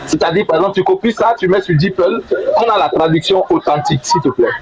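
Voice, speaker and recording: A man's voice: high voice, fast, crowd noise. A man hosting a heated online debate, insistent and repeating himself as he presses the other speaker. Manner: insistent, repeats words and pleads. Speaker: debate host. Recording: muffled with loud noise.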